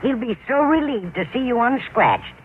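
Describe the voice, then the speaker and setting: Woman playing a dithery, high-voiced older landlady, tinny radio. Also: expressive, normal speed, natural voice. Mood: gently concerned. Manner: dithery, literal-minded, motherly. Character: kindly, scatterbrained older landlady, fussing and easily distracted. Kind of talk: 1940s-50s radio comedy acting. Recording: thin, noisy old-time radio broadcast.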